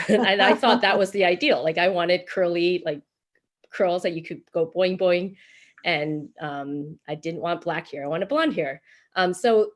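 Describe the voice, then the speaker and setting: A woman's voice: lowish voice, unhurried. A woman with an American accent giving informal, chatty interview answers as a guest novelist, often saying "like" and "you know". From born in Taiwan, moved to the United States at seven.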